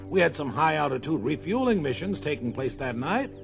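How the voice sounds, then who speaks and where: An actor playing an evasive, placating air force major, speaking in a hesitant official manner in an audio drama. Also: male voice, a little high, sing-song, a little fast, creaky, music in the background. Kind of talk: 1970s dramatised story record acting.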